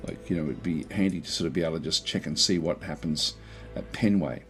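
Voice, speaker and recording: A man with a croaky voice casually talking through a strategy game, thinking aloud with many fillers and restarts. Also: extremely low pitch, fast, fairly bright tone, faint music behind. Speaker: gameplay commentator.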